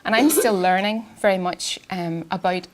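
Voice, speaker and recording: A woman, an artist giving a public talk in a quiet, soft voice, hesitant with many ums and restarts, and she has a cold. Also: mid-range voice, expressive, normal speed. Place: garden event space with sound system.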